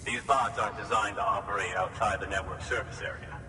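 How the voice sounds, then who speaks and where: An actor playing a matter-of-fact technician receiving a courier in a game cutscene. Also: male voice, on the low side, fairly even intonation, fairly quick, natural voice. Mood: satisfied, brisk. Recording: distant, echoey hall with clear noise.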